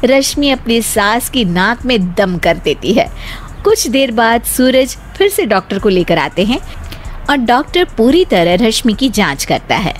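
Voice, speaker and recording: Lively high-voiced woman narrator. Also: a little slow, slight background noise. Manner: lively storytelling. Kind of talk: scripted storybook narration. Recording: faint music behind.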